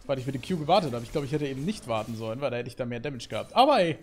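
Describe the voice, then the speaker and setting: A man in his thirties from Germany, commenting on his own gameplay in an excitable way, full of fillers, at a desk at home. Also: medium pitch, very fast, a little hiss or hum. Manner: lively, excitable, talks through play with fillers. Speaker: gaming YouTuber. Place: home streaming setup, indoors.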